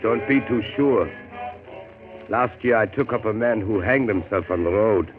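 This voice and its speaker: Man acting fatalistic steamer captain, wry, lowish voice, 1930s radio drama, tinny sound, music behind. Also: unhurried, lightly acted.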